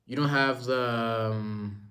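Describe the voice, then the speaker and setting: A man's voice: mid-range voice, steady tone, slow, a bit bright. A young man from Rwanda talking casually and informally to his live-stream viewers, using slang and often repeating himself.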